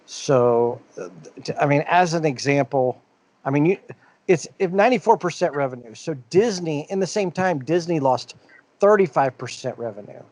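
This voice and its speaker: A man's voice: fairly high pitch, lively intonation, normal speed, darkish tone, no echo. A man co-hosting a casual podcast, reading out news items and rambling on with many fillers and restarts. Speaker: podcast co-host.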